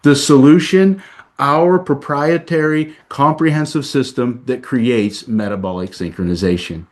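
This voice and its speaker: A man, a doctor, delivering a scripted promotional presentation in a measured, emphatic reading style. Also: mid-range voice, a little mellow. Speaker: doctor presenting a health program.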